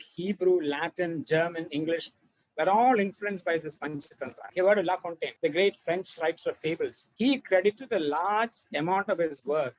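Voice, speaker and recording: Man, high voice, informal expert explainer for children, didactic, repeats points, dry recording. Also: no room sound, low-quality recording. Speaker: expert author and researcher.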